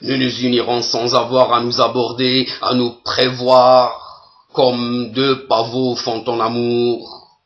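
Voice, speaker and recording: A man giving a solemn poetry recitation in a measured, deliberate way, pausing between phrases. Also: mid-range voice, monotone, lo-fi sound.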